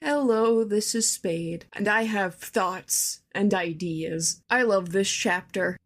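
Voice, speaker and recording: A woman's voice: mid-range voice, slow. Woman giving casual opinions in a voice message. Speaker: listener sending a voice message.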